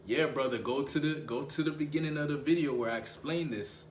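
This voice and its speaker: A young man with a low voice, giving dating advice in a casual, slangy way and often repeating himself for emphasis. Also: a little fast, echoey room, slight background noise. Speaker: dating coach.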